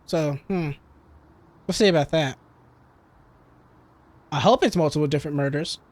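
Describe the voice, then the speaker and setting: A game player giving casual, informal let's play commentary, thinking out loud. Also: medium pitch, moderate pace, slight background noise. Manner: musing aloud, informal. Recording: game music behind the voice.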